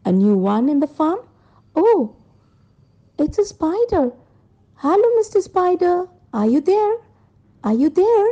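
A woman's voice: very high voice, sing-song, slow pace, pauses often, warm tone, muffled recording, voice pushed up, full character voice. A woman voicing a curious, friendly night owl who hoots and calls out in a children's story. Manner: inquisitive, calling out.

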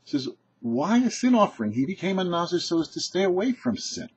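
An older man, a rabbi in his sixties, giving a short, deliberate religious lesson in an explanatory, didactic way. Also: high-pitched, big pitch swings, slightly rough. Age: older, about 60s. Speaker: rabbi hosting a weekly Torah portion show. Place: indoor home office or study. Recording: muffled, dull webcam audio.

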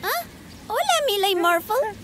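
Extremely high-voiced excitable little girl. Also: measured pace, heavy background noise, heavily acted. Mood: alarmed. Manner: exclamatory. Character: excitable, earnest little girl, friend of the heroine. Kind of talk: children's cartoon dub.

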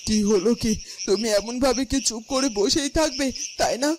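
A woman's voice: on the high side, moderate pace, background noise, close to an everyday voice. A woman playing a distraught, stubborn village mother in a cartoon, plaintive and scolding in a melodramatic way. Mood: sulky, threatening.

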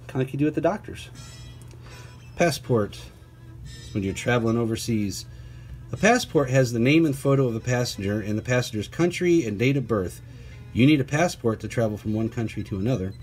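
Male teacher for picture book read-aloud, mid-pitched, breaking off for conversational asides and questions to kids. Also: darkish tone. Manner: prompts listeners with questions and asides. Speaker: teacher reading aloud to children.

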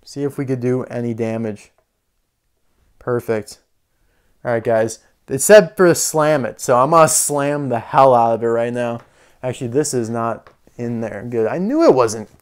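A man's voice: mid-range voice, moderate pace, smooth. A man giving casual, unscripted hands-on toy commentary, chatting and thinking aloud as he goes. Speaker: toy reviewer. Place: indoor room full of toy displays.

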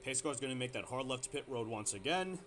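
A man giving play-by-play commentary on a simulated stock car race, getting excited at close moments and restarting sentences with fillers. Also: mid-range voice, quick pace, noisy background. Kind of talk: amateur sim racing play-by-play commentary.

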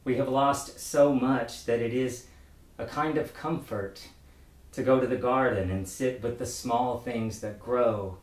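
A middle-aged male pastor gives a sermon in a measured, reflective manner. His voice sits at an ordinary male pitch. It was recorded indoors with a roomy echo, a little away from the microphone. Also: steady tone, far from the mic. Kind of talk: prepared sermon. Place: book-lined room indoors.

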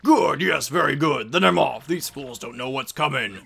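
Bossy video game villain king, acted by a man: husky, sharp, gravelly, sure of himself. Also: mid-range voice, expressive, normal speed, faint music behind, heavily acted. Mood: smug, eager. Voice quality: raspy, gravelly. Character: gruff, bossy, overconfident monster king. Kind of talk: fan dub of a video game.

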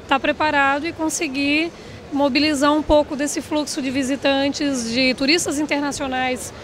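A Brazilian woman with a very high voice puts a question in an interview. She talks conversationally into a handheld mic, pausing between phrases. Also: fairly even intonation, slight background noise. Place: indoor trade fair booth. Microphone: handheld interview microphone.

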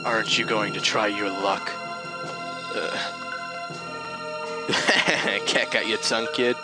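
A man's voice: lowish voice, animated, slow pace, loud music behind the voice. A man voicing a sneering villain general in a mocking tone.

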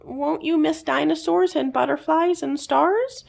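A woman's voice: high voice, a little slow, vocal fry, a little mellow, voice pushed up, full character voice. A woman voicing a bold, playful, adventurous boy mouse in a children's storybook, eager and urging. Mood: pleading.

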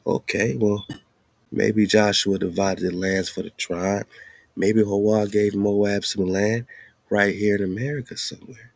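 A man's voice: on the low side, steady tone, a little slow, gravelly, darkish tone, no echo. A man giving an informal, slangy commentary, asking rhetorical questions and repeating phrases for emphasis. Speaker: host. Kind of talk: informal commentary monologue over on-screen maps and documents.

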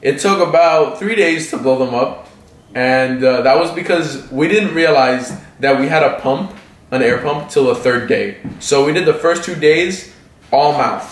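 A man's voice: a little high, measured pace, roomy sound. A young man from South Florida, a vlog host, telling a story to camera in a casual, chatty way. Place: living room with bookshelves.

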